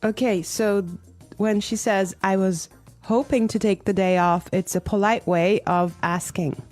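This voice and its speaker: A woman's voice: medium pitch, steady tone, a little slow, darkish tone, faint music behind. Cheerful female English teacher. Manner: cheerful, explains phrases clearly. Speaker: podcast co-host, English teacher. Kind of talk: scripted English lesson.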